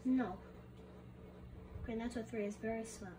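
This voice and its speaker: A woman's voice: a little high, measured pace, recorded from a distance, close to an everyday voice. A woman voicing a boastful alien who speaks of itself in the third person, with a Russian accent. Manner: refers to self in third person, proud and deadpan. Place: bedroom with a computer.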